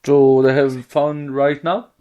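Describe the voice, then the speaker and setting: Slow, halting male podcast co-host. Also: mid-range voice, level tone, muffled recording. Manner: halting, non-native phrasing. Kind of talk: casual chat.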